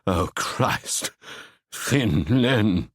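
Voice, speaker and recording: A man voicing a frightened, haunted man who mutters slowly and quietly, as if far off. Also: on the low side, expressive, breathy edge, dry recording, clean studio sound, full character voice. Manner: slow, hushed, distant, mumbling. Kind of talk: audiobook character voice.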